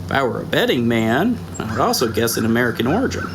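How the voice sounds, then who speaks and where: Man voicing a calm sniper on the radio, steady, gravelly, noisy track. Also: medium pitch, fast. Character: male sniper Jake, calm and observant.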